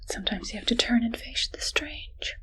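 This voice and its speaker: Evil-but-friendly female scientist, played by a woman, ASMR medical roleplay: whispery, fairly high, unhurried, mock-clinical and teasing. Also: fairly bright tone, lightly acted. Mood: curious, teasing.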